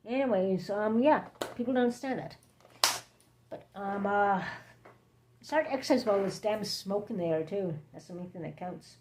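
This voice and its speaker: A woman with a hoarse, scratchy throat, hosting a rambling late-night livestream chat from home, halting and drifting between topics. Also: medium pitch, a little mellow. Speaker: livestream host.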